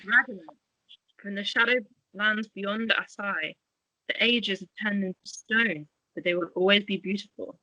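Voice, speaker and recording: A woman playing a courtly merchant magistrate who presents gifts and translates, in an amateur table read, with a husky voice. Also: mid-range voice, measured pace, sharp tone, no room sound. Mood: reverent. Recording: dull, dry lo-fi audio.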